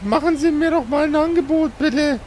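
A man's voice: extremely high pitch, normal speed, a little mellow, slight background noise, voice pushed up. A man voicing an obliging, literal-minded fish seller at a harbour in an improvised tabletop roleplay, doggedly upselling. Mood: obliging, oblivious.

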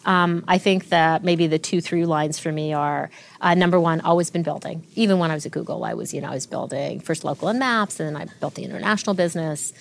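A woman in her forties, a tech executive being interviewed, telling stories fluently and with lively restarts. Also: sits low, quick pace, creaky, a little hiss or hum. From Canada. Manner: fluent, animated storytelling with frequent restarts. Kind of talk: interview conversation.

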